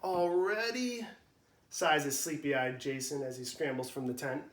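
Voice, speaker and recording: A young man, a preschool teacher, reading a storybook aloud to young children in an animated, sing-song way. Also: medium pitch, normal speed, roomy sound.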